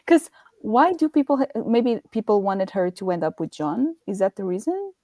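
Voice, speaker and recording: A woman chatting casually as co-host of a book discussion, agreeable and quick to giggle. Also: mid-range voice, sing-song, warm tone, no echo, studio quality. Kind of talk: casual chat.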